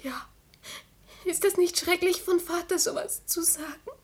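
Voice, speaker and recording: An actress playing an earnest, emotional teenage daughter, innocent and devoted, in a radio play. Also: female voice, extremely high pitch, steady tone, unhurried. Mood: sad, earnest.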